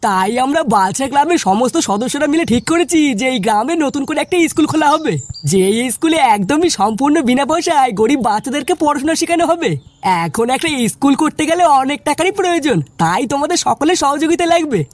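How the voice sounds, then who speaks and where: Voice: high-pitched, lively intonation, fast. A performer who sounds like a woman, playing a bossy, earnest young male village club leader in a cartoon, talking in a commanding, explanatory way. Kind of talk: cartoon dialogue.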